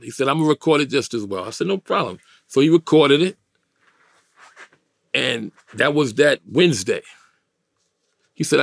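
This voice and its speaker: A man in his fifties with a gravelly voice and a New York accent, telling a story in slangy, emphatic, streetwise interview talk. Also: medium pitch, dry recording, studio quality. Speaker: interview guest. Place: small indoor recording studio, dry sound.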